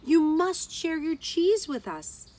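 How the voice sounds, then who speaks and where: A woman voicing eager, demanding mouse friends in a children's story, exclaiming loudly and emphatically. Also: very high-pitched, big pitch swings, slow pace, pitched above the performer's own voice, full character voice. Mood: desperate, demanding.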